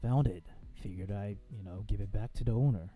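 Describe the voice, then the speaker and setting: A man voicing a gruff, blunt high school bully in a visual novel. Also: fairly quick, narrow, tinny audio, soft background music. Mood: gruff, dismissive. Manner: curt, low-key, blunt.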